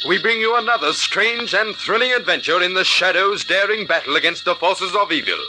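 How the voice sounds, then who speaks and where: A man's voice: high voice, fairly quick, over music, poor audio. A man delivering a scripted, dramatic and formal announcement in 1940s radio style.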